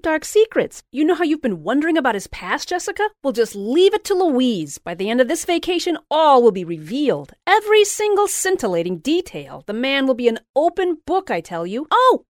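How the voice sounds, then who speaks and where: A woman's voice: high voice, sing-song, brisk, few pauses, dry recording, studio quality, pitched above the performer's own voice. A woman putting on a shrieking, gushing literary agent. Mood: scheming. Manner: shouting, breathless exclamations, repeating words.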